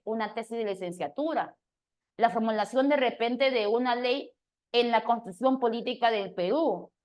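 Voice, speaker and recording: A woman's voice: on the high side, a little mellow. A woman teacher giving an online exam-prep grammar class, explaining step by step and checking in with her students as she goes.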